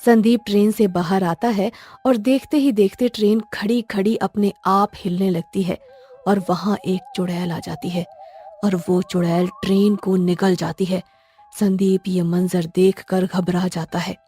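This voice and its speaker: A woman's voice: mid-range voice, steady tone, a little slow, dark tone, husky, no echo. A woman storyteller reading scripted narration for a cartoon tale. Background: faint music.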